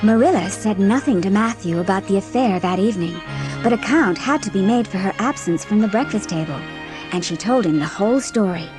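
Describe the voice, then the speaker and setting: A woman's voice: medium pitch, lively intonation, a little fast, over music. A woman reading scripted narration for a dramatised classic audiobook.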